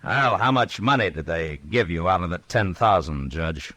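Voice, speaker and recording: An American actor playing a tough, steady frontier marshal in a radio western, speaking in a dry, commanding way. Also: male voice, sits low, normal speed, no room sound, heavily acted. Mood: stern, sardonic. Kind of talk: old-time radio western acting.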